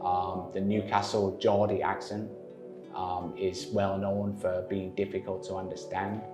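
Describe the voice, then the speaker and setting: Interviewee: man with a Manchester accent, lower voice, echoey room, faint music beneath. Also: monotone. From northern England, Manchester area. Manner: measured, frequent "um" pauses. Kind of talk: explaining in an interview.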